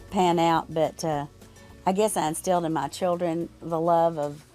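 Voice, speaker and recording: Low-voiced older woman, reflective and halting. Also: mellow. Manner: reflective, halting with many fillers. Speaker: store owner, interviewee. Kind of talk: reflective interview answers.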